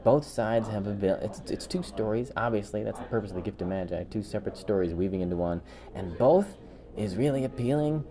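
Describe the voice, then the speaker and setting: A man co-hosting a podcast in casual conversation, halting and repeating words as he thinks aloud. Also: fairly low pitch, a crowd in the background. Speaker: podcast co-host. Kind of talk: casual chat ranking favorite cartoon specials.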